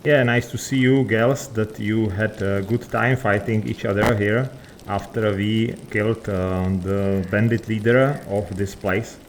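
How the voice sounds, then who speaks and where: A man chatting casually with game characters, speaking in halting, hesitant phrases. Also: fairly low pitch, fairly even intonation, slight background noise. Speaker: gamer, video creator.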